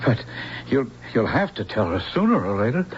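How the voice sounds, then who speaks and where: A man's voice: mid-range voice, big pitch swings, normal speed, close to an everyday voice. A man playing a loyal, protective humanoid robot servant in an earnest old-time radio drama style. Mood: grave, gentle. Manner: earnest, theatrical. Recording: dull, hissy old radio recording.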